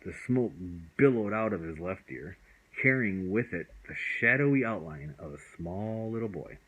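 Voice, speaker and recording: Husky, expressive man reading a story. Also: fairly low pitch, moderate pace, rough recording. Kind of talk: bedtime story read aloud.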